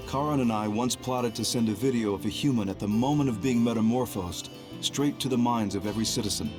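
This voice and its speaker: A man playing a composed, calculating young double agent in a video game, speaking in a measured, formal, grave way. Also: mid-range voice, music in the background, natural voice. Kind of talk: anime-style video game cutscene acting.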